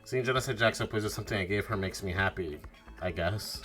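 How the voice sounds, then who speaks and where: A man voicing a mild, earnest, uneasy high school boy in an amateur visual novel playthrough. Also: fast, close to an everyday voice. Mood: hesitant. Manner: questioning, earnest. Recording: game music behind the voice.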